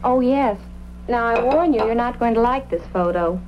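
Slightly high-voiced, chatty witness played by a woman. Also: normal speed, natural voice. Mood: earnest, chatty. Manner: chatty, hospitable, plain-spoken. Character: polite, talkative female witness, mildly wry. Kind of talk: old-time radio drama acting. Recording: muffled, faintly noisy old radio recording.

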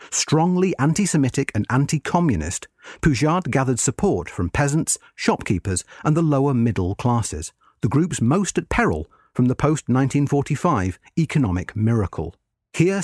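A man narrating a scripted history article in a measured, formal read-aloud delivery. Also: mid-range voice, darkish tone, husky, dry recording, studio quality.

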